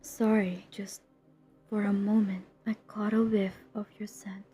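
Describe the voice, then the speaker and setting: A woman playing a centuries-old, guilt-ridden but determined vampire hunter in an intimate audio roleplay, speaking in a hushed, confessional, breathy voice that often drops to a whisper. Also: medium pitch, level tone, soft background music, natural voice. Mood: apologetic, persuading. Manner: hushed, confessional, halting, earnest. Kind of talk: intimate dramatic audio roleplay addressed to the listener.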